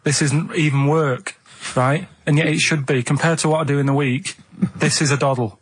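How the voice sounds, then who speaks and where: A male radio co-host with a slightly high voice, chatting casually. He rambles and argues his way through the banter, circling back over his points. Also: fairly even intonation, normal speed, a little hiss or hum. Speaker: radio show co-host and producer.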